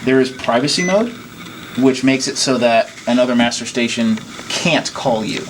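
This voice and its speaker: A man's voice: medium pitch, moderate pace. A man giving an informal hands-on training demonstration, explaining things plainly and step by step. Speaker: technician giving staff training. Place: indoors, at an equipment station. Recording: noisy room, clear background noise.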